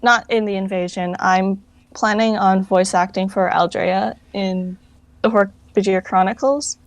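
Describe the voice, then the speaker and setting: A woman's voice: mid-range voice, steady tone, measured pace, no echo. A woman answering interview questions casually, in an informal, rambling way that often corrects itself. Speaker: interview guest, fan project founder. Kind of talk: casual chat.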